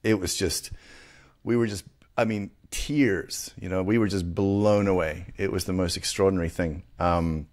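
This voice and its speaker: A middle-aged man hosting an interview, recalling a past film project in a reflective, halting way. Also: deep voice, steady tone, a little mellow. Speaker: host, filmmaker. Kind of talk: conversational interview.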